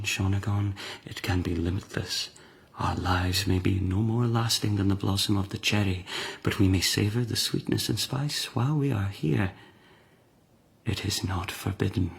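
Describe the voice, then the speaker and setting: An actor playing a worldly former emperor turned monk in a radio drama, sensual and quietly seductive, measured and savoring his words. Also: deep voice, fairly even intonation, vocal fry, breathy edge, exaggerated voice. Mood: tender, seductive.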